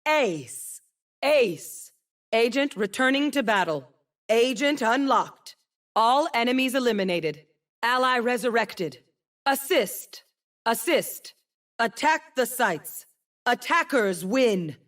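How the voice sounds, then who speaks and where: Poised female announcer for a tactical shooter: unhurried, authoritative, clipped calls with lively intonation. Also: a little high. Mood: composed, emphatic. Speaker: game announcer. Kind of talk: short scripted match announcements.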